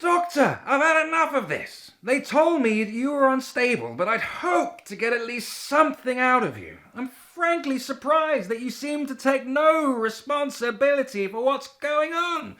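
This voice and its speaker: Casting: impatient female official, challenging and businesslike, very varied pitch, unhurried pace, audio drama. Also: fairly bright tone. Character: impatient, exasperated official questioning a scientist. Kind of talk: radio play acting.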